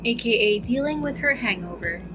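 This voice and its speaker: Playful high-voiced woman gamer. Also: animated, fairly quick. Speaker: gaming streamer. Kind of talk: casual playthrough commentary, reading dialogue choices aloud. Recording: game music behind the voice.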